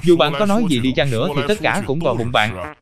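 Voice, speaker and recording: A man voicing cheeky talking plants and animals in a chatty, instructive animated explainer. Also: mid-range voice, quick pace, music in the background, close to an everyday voice. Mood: teasing, scolding.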